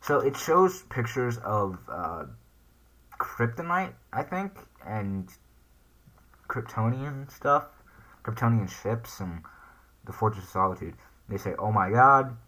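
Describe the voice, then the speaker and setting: A man's voice: medium pitch, animated, takes it slowly, pauses often, bright, forceful tone, rough recording. A man hosting a casual reaction video, hesitantly thinking aloud as he comments and reads text off the screen. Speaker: YouTube reaction host.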